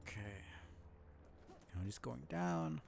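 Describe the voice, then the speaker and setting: A man's voice: medium pitch, sing-song, slow pace, creaky. A young man streaming a game live, thinking aloud in casual muttered commentary with small self-deprecating asides. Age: young adult.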